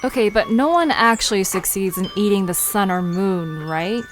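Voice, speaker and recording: A woman's voice: mid-range voice, moderate pace, soft background music, lightly acted. A woman playing a curious, teasing young park visitor in an audio drama, chatting in a casual, playful way. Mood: curious, amused. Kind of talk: conversational audio drama acting.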